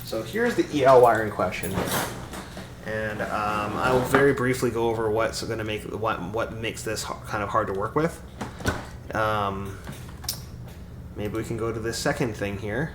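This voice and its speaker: A man with a raspy voice chatting casually on a live stream, rambling through explanations with lots of fillers and restarts. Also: fairly low pitch, normal speed. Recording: echoey room with background noise.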